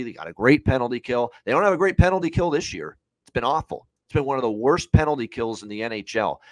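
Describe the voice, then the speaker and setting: A man's voice: mid-range voice, no echo. A man in his thirties giving casual, opinionated sports betting analysis, repeating points for emphasis. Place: indoor room on a video call.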